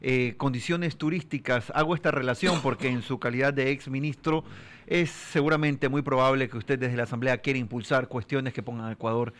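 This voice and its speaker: A man hosting a radio news program, asking questions in a formal, measured way. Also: mid-range voice, steady tone, fairly bright tone. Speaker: radio host.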